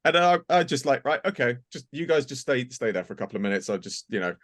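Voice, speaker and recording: A man's voice: mid-range voice, sing-song, fast talker, no echo. Hesitant man chatting, dry close sound. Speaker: voice actor. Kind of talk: casual chat.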